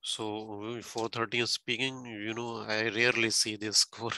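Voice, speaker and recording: A man hosting an informal online interview as a tutor, talking haltingly with many fillers. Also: fairly low pitch, level tone, bright, forceful tone. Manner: hesitant, full of "you know" fillers. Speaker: host and OET tutor.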